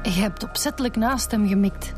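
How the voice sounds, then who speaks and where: An actress playing a direct, composed Flemish police inspector in a radio drama, questioning and informal. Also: female voice, a little high, fairly quick, a little mellow, natural voice. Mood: probing, weary. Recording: prominent music behind the voice.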